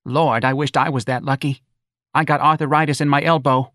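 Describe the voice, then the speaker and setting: A man's voice: medium pitch, fairly even intonation, normal speed, fairly bright tone, no room sound, studio quality, pitched above the performer's own voice, natural voice. Man doing a weary, complaining New mama. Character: weary complaining working-class New Orleans mother.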